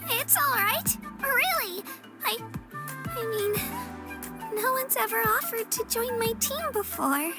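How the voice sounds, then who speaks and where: A woman's voice: very high voice, big pitch swings, takes it slowly, over loud music, full character voice. A woman voicing an earnest, modest young warrior girl in a video game, youthful and a little hesitant. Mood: flustered, grateful. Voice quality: youthful, girlish. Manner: earnest, self-effacing, hesitant. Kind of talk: video game character voice acting.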